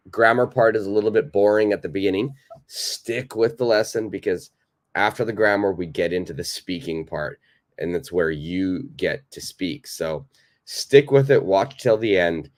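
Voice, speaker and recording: A man with a very low voice, hoarse and raspy from a cold, teaches a live online English lesson. He greets viewers by name and repeats each grammar point patiently.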